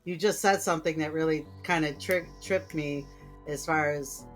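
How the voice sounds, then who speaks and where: A woman's voice: deep voice, steady tone, normal speed, soft background music. A woman from Puerto Rico co-hosting a podcast in casual chat, conversational and heartfelt, often saying "you know.". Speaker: podcast co-host.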